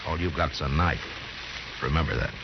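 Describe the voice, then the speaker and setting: An actor playing a calm, stern frontier marshal in a radio western, measured and firm. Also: male voice, a bass voice. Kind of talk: 1950s radio western acting. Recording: hissy, muffled old radio recording.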